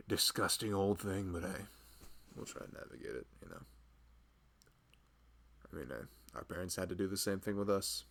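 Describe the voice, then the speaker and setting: A man playing a loving, nervous young husband and father-to-be in an intimate, rambling, soft-spoken ASMR roleplay. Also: heavily acted. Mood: worried, tender. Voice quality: low, gravelly voice. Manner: rambling, soft-spoken, chuckling, often drifting toward a murmur.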